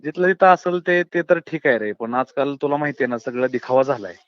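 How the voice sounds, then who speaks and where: A man's voice: medium pitch, animated, brisk, dry recording. A man with a rural Maharashtrian accent chatting on a phone call, holding forth earnestly in colloquial, philosophising style. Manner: colloquial, earnest, philosophising, often addresses listener as brother. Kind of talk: casual phone chat, opinionated monologue. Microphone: phone call, close and dry. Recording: dull lo-fi phone call recording.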